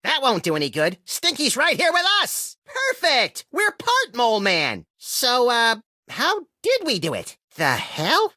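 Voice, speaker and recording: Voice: mid-range voice, pushed and bright, no echo, clean studio sound. An actor voicing a mischievous, violent little rabbit creature from a cartoon-style video game, wisecracking with gleeful sarcasm.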